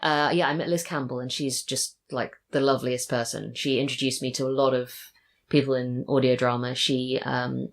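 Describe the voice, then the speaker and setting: A woman's voice: very deep voice, fairly even intonation, normal speed. An English woman chatting casually as a podcast guest. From England. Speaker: podcast guest, audio drama writer and voice actor. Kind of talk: casual chat.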